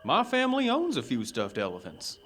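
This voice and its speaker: A man's voice: mid-range voice, wildly swooping pitch, a little fast, a bit bright, a little hiss or hum. A man playing a haughty young male noble of an old people, with formal, aloof speech.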